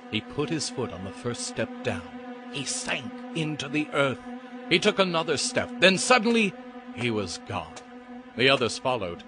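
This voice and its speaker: A man's voice: mid-range voice, big pitch swings, moderate pace. A man narrating a dramatized audiobook as a scripted, theatrical story. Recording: music behind the narration.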